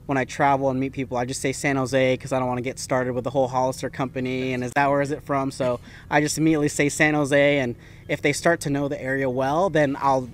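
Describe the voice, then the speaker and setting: Young guest caddie, easygoing and chatty, faint room noise behind. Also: male voice, mid-range voice, fast talker. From Philippines. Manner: relaxed, talks in loose run-on phrases with fillers. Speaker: PGA Tour caddie, guest. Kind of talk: casual interview answers.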